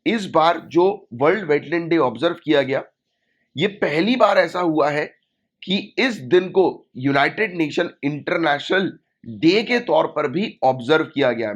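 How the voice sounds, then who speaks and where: A man with a sore throat lectures students for exam prep, going over key points and checking in with "right?" His voice sits a little high for a man. The recording is dull and lo-fi. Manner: explanatory, repeats key points and asks "right?". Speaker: exam coaching teacher.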